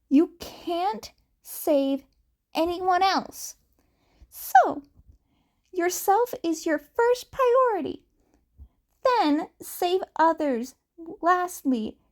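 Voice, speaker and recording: A woman voicing an earnest, protective boy in an audiobook story, giving advice in emphatic, deliberate phrases. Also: very high voice, voice pushed up, full character voice.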